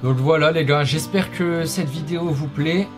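Young man, energetic fitness YouTuber. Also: on the high side, normal speed. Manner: energetic, rambling, many fillers. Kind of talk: casual commentary over workout footage. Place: small home studio with acoustic foam. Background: guitar music.

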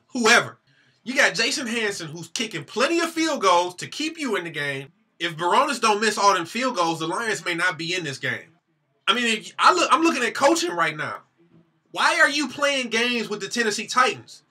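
A man's voice: high voice, bright, forceful tone, husky. A young man from Detroit hosting a sports vlog, reviewing a game to his webcam in a heated, slangy, incredulous way. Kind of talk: animated sports rant to webcam. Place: small room with a team banner behind him.

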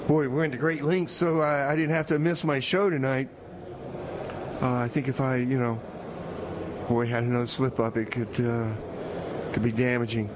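A man's voice: medium pitch, steady tone, close to an everyday voice. Rambling comic radio host played by a man, muffled. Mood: flustered. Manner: halting, rambling, folksy. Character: Rebar, rambling easygoing male radio host stuck in jail. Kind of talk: comedic live radio hosting. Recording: muffled radio, noisy background.

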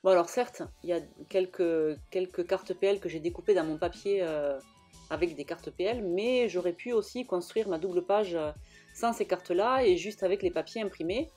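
A young woman narrating her own craft video in a casual, halting way, full of fillers and restarts. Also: lowish voice, mellow, soft background music. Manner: hesitant, many fillers and restarts. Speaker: scrapbooking vlogger.

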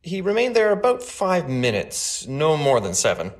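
A man's voice: fairly high pitch, huge pitch swings. A man playing a courteous older bank treasurer giving careful, formal testimony in a dramatic trial reading. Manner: formal, measured, cautious answers.

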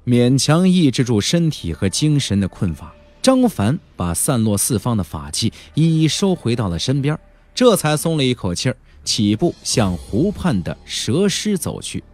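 Animated male audiobook storyteller, slightly husky. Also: medium pitch, soft background music. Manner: theatrical, emphatic storytelling with drawn-out words. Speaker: audiobook narrator. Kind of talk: dramatic scripted narration of a fantasy novel.

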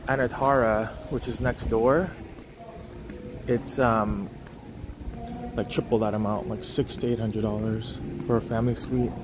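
A man's voice: medium pitch, level tone, a little slow, pauses often, noisy background. Rambling man vlogging outdoors. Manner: rambling, many fillers and restarts. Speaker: travel vlogger. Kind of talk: casual walking tour commentary. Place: outdoors at a beach resort. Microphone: phone on a gimbal.

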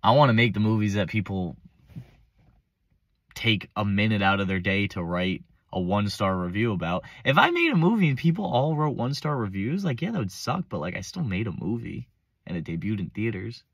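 A young man with a hoarse voice from a cold, rambling casually and unscripted, recorded on a phone camera in his parked car. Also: on the low side, big pitch swings, fairly quick, fairly bright tone, no echo. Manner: rambling, self-interrupting, casual slang. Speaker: vlogger. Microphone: phone front-facing selfie camera.

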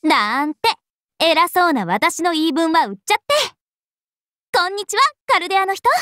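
A woman's voice: extremely high pitch, big pitch swings, moderate pace, pushed and bright, dry recording, clean studio sound, heavily acted. A woman voicing a friendly, playful young vampire princess in a Japanese mobile game, speaking cheerfully and informally.

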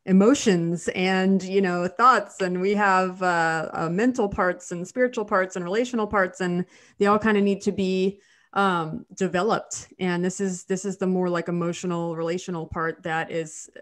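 A woman's voice: fairly low pitch, fairly even intonation, normal speed. A woman in her thirties co-hosting a podcast from home, a little nasal from a cold, chatty with frequent restarts. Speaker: podcast co-host, dental hygienist. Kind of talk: casual podcast chat. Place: home living room. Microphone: studio mic on video call.

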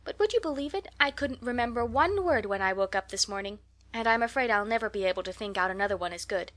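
A woman's voice: a little high, expressive, quick pace, fairly bright tone. A woman voicing an eager, imploring, talkative young orphan girl in an audiobook, earnest and effusive. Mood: apologetic, chatty. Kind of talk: audiobook character voice.

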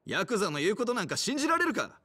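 An actor playing a stubborn, dismissive pawnshop clerk in a video game, sneering and flippant. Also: male voice, high voice, very animated, fast, pushed and bright, heavily acted. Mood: suspicious, scornful. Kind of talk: video game cutscene acting.

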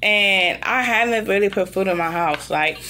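A woman's voice: mid-range voice, moderate pace, bright, forceful tone, a little hiss or hum. A woman in her thirties chatting casually and bluntly to camera in a rambling vlog, sounding irritated, recorded at home at arm's length. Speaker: vlogger. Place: room in an apartment.

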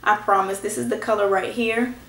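A woman in her twenties, a little hoarse from a cold, reviewing lipsticks in a chatty, reassuring way, teasing herself now and then. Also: medium pitch, fairly even intonation, fairly quick, a bit bright. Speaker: beauty vlogger. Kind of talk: casual product review. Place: small indoor room at home. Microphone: camera in front at close range. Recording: echoey room.

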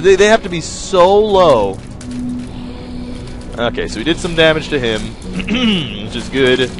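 A man's voice: a little high, fairly bright tone. A young man giving casual, rambling commentary over a video game, joking and talking to his viewers. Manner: rambling, joking, self-mocking, talks to viewers. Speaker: gaming video host. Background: faint game music.

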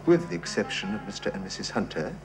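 An actor playing a polite English gentleman holding people at gunpoint, speaking dryly, ironically and precisely, in a 1970s TV drama. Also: fairly low pitch, big pitch swings, fast, gravelly, over music. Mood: wry. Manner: dry, ironic, precise and courteous.

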